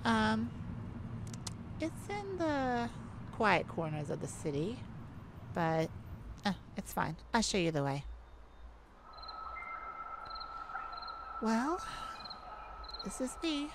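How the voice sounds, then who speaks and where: A woman's voice: fairly high pitch, very animated, slow, pauses often, background noise, full character voice. A woman playing a playful, shyly romantic lady thief in an ASMR audio roleplay, with a teasing, hesitant manner. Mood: shy, tender. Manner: teasing, hesitant, breaking into soft laughs. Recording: music behind the voice.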